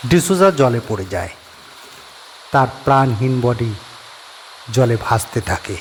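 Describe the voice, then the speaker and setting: A man narrating a horror audio story in a steady, measured storytelling voice. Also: mid-range voice, slight background noise.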